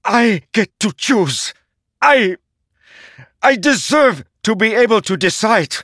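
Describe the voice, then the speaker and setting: A man voicing a young male warrior, pleading and defiant, pushing toward desperate shouts. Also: lowish voice, animated, unhurried, dry recording, studio quality, pitched above the performer's own voice. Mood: anguished.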